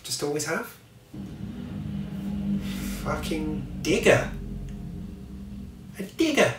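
A man's voice: a little high, distant mic, noisy background. A man in his thirties giving a chatty, wry book review to camera from a small home office. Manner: chatty and wry, swears at passing vehicles. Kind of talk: casual talk to camera. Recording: echoey room, mic set back.

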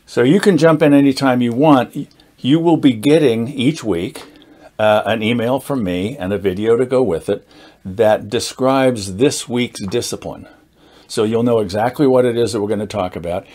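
A man in his sixties giving an informal explanatory talk to camera as a group host, measured and halting, with deliberate emphasis. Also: medium pitch, a little mellow. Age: 60s. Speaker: meetup founder and host, teacher. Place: indoors, small studio with dark curtain backdrop.

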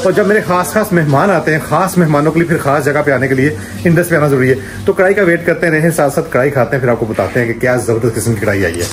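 A man's voice: a little high, fast talker, few pauses, background noise. A man in his forties vlogging casually, talking straight to a handheld phone at arm's length and promoting his shop. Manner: lively, promotional, talks straight to the camera. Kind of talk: casual vlog chat. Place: shop, street and restaurant kitchen.

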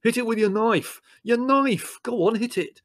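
A man's voice: extremely high pitch, normal speed, fairly bright tone, no echo, clean studio sound, pitched above the performer's own voice. A man voicing a combative, impatient young man who roars out orders in a storybook. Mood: urgent.

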